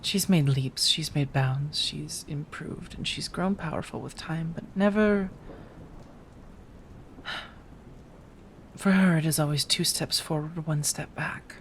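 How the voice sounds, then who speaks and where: Girl doing a vampire lady asmr roleplay, remorseful n possessive, measured halting talk w sighs, rain and thunder behind. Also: sits low, a little hiss or hum. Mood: measured, reflective. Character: remorseful, possessive female vampire confessing to her companion.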